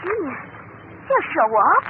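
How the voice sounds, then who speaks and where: A very high, plaintive child's voice in a 1940s radio play. The kid is earnest, worried and slangy, halting between phrases. The old recording is narrow and tinny, with faint noise. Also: sing-song, full character voice. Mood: glum, indignant. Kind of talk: 1940s radio drama acting.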